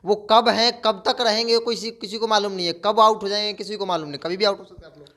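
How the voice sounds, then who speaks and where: A man's voice: high voice, steady tone, fast talker, bright, forceful tone. A man working as a news interviewer, putting conversational political questions and repeating phrases for emphasis.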